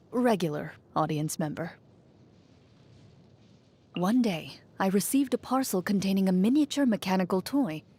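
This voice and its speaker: A woman playing a composed, dutiful young female champion duelist in a video game, speaking in a formal, measured, reserved way. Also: lowish voice, darkish tone, slightly rough. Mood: composed, admiring. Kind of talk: video game character voice lines, reflective monologue. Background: music under the voice.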